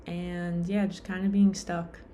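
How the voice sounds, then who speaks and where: A woman's voice: lowish voice, little pitch movement, unhurried, warm tone, lo-fi sound, a little hiss or hum. A young adult woman vlogging in a casual, rambling way, full of fillers and self-deprecating asides, sounding tired.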